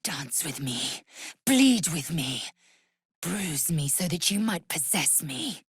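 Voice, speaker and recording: A woman playing a proud, stern githyanki warrior in a fantasy video game, with a husky rasp and clipped, imperious, formal delivery. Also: deep voice, lively intonation, takes it slowly, no echo, clean studio sound, exaggerated voice. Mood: low, seductive.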